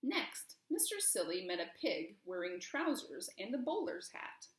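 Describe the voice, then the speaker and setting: A woman's voice: mid-range voice, sing-song, a little slow. A woman reading a children's storybook aloud expressively, with emphasis and questions put to young listeners. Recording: roomy, echoing room.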